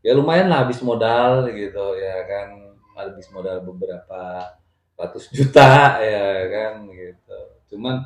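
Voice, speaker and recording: Low-voiced man in an echoey room. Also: unhurried. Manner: colloquial, repeats phrases. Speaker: interview guest telling life story. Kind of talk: casual chat, personal storytelling.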